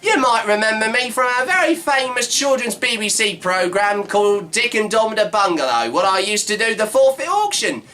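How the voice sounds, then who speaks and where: A man's voice: high-pitched, animated, moderate pace, hardly any pauses, pushed and bright. A man playing a brash male Cockney market trader with pushy sales patter who keeps saying "of course". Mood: chummy. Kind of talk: comedy sketch character monologue.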